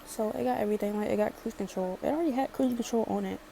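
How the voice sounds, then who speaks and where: A woman's voice: mid-range voice, racing, creaky, warm tone, slight background noise, rough recording. A chatty young woman from Maryland with a light Baltimore accent, rambling sassily through a casual storytime. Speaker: vlogger.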